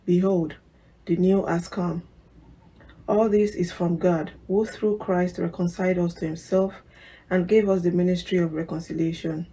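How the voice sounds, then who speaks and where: Female scripture reader, measured slow delivery, low warm voice. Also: steady tone, poor audio. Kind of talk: scripture reading.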